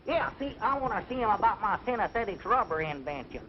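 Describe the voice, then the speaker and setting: A gullible old country man in a radio comedy, high and lively, with a rural Southern twang and simple-minded manner. The aged recording is dull and faintly hissy. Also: fast talker. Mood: puzzled. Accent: rural American South. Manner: folksy, simple-minded, literal. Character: naive, gullible old country bumpkin inventor.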